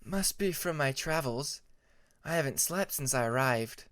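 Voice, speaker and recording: A man voicing a gentle, courteous older female dragon diplomat in an audiobook. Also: medium pitch, expressive, measured pace, no room sound, clean studio sound. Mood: gracious, tired.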